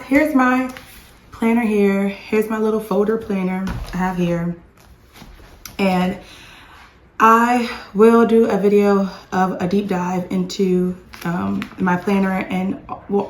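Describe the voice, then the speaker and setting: A woman in her thirties, slightly hoarse, chatting in a rambling homeschool vlog from her home. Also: mid-range voice, fairly even intonation, measured pace, roomy sound, slight background noise. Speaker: homeschooling mom vlogger. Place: home with dishwasher and dryer running.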